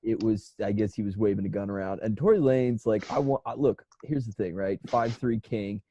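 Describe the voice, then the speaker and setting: A man's voice: fairly low pitch, fairly quick, mellow, muffled recording. A young man co-hosting a podcast in casual comedic chat, rambling, profane and irreverent. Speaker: podcast co-host.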